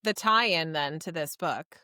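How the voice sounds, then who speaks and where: A woman with a crisp, bright voice that sits a little low hosts a casual book chat. Her delivery is informal and emphatic, prone to gushing. The recording is close and dry. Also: slow pace, no echo. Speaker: podcast host.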